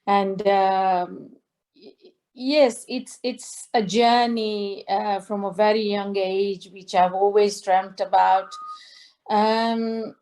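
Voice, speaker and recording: A middle-aged woman from Tanzania, a singer on a video call, talking slowly with many fillers and restarts. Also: mid-range voice, steady tone, darkish tone. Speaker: guest singer. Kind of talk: interview over video call. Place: indoor home room.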